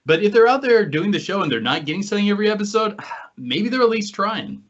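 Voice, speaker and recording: A man's voice: sits high, sing-song, fast talker. A man hosting a podcast and talking casually with the others. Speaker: podcast host and paranormal investigator. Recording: slightly muffled, lo-fi audio.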